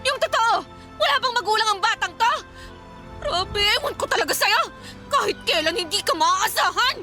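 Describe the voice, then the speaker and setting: A woman playing a fiery, scolding young mother with a Filipino accent in a radio drama, firing off accusing questions. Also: lively intonation. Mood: furious scolding. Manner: shrill nagging, rapid accusing questions. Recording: music and crowd noise behind.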